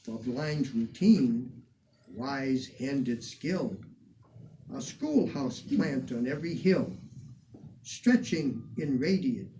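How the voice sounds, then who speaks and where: A man reciting old poetry dramatically in a measured, declamatory way, with deliberate phrasing and emphatic swells. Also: a little high, warm tone. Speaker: reader of poetry, museum curator. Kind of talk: dramatic poetry recitation in the person of a 19th-century poet. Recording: distant microphone with some background noise.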